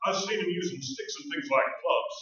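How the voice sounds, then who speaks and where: An actor playing a gruff, commanding small-town sheriff with a folksy manner in a staged radio play. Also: male voice, on the high side, expressive, moderate pace, pushed and bright. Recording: echoey stage, distant mic, dull sound.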